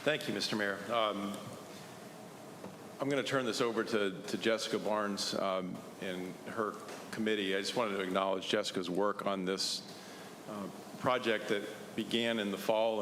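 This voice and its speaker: A man speaking as town manager at a council meeting, earnest and halting with fillers. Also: fairly low pitch, fairly even intonation. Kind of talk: formal remarks at a council meeting. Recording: echoey hall with background noise.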